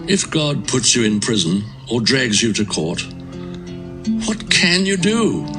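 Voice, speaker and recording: A man acting a stern, self-assured accuser in a dramatised Bible audio production, lecturing with emphatic, oratorical delivery. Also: mid-range voice.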